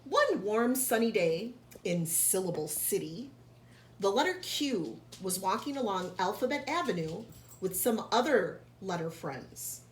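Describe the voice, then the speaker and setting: A woman reads a storybook to young children in the manner of a teacher, at a slow pace. She stops for short explanations and sounds out important words with care. Her voice has a medium pitch and rings in an echoey room, with faint noise behind it. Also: sing-song. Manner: didactic, enunciates and sounds out key words for children. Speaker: teacher reading a picture book aloud to young children. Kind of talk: read-aloud storytelling with explanatory asides.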